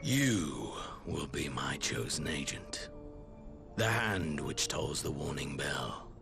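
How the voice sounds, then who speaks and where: A commanding, calculating lord of an empire for a fantasy game. His voice is extremely low and gravelly, with formal, unhurried diction. Prominent music plays behind him. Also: very animated, full character voice. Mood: grave, resolute. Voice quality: very deep, gravelly, breathy at phrase ends. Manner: measured, imperious, formal diction. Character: cold, commanding, calculating imperial lord, grown man. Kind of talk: dramatic video game cutscene acting. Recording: loud music under the voice.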